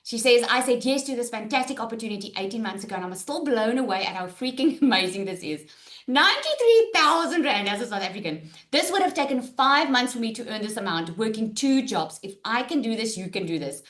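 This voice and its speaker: A woman's voice: on the high side, lively intonation, fairly quick, fairly bright tone. A woman hosting a live online webinar over a video call, upbeat and chatty with her viewers. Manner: upbeat, addresses viewers as guys. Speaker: webinar host.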